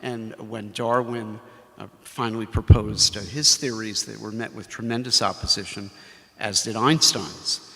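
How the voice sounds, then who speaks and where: A man, a professor, speaking at a ceremony in a halting way full of fillers. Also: lowish voice, fairly even intonation, creaky, pushed and bright. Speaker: professor, faculty mentor. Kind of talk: ceremony speech. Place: library ceremony hall. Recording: long hall reverb.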